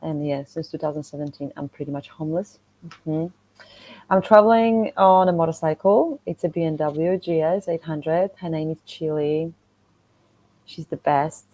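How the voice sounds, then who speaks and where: A woman with a Polish accent and a low-pitched, soft-edged voice. She talks in a chatty, animated way, like a guest casually telling travel stories. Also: normal speed, poor audio. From Poland. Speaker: podcast guest, motorcycle traveller and content creator. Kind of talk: casual conversational storytelling.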